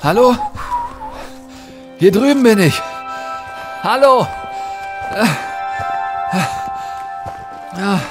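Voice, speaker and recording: A man's voice: high voice, lively intonation, extremely slow, long pauses, over music, full character voice. An actor playing an anxious, hesitant businessman in a horror audio drama. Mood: alarmed, pleading. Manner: hesitant, stammering, urgent.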